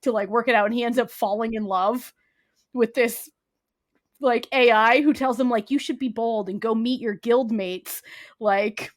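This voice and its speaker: A woman's voice: a little high, fairly even intonation, normal speed. A young woman co-hosting a podcast, chatting casually about a comedy show she is enjoying, rambling and full of fillers. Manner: rambling, full of "like", breaks into laughter. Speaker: podcast co-host. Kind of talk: casual chat recommending shows.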